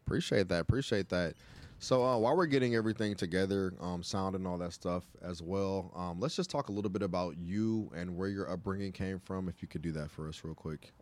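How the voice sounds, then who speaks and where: A man's voice: sits low, brisk, darkish tone. A man hosting a radio show, chatting casually and slangily as he interviews a guest. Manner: slangy, interjects short affirmations.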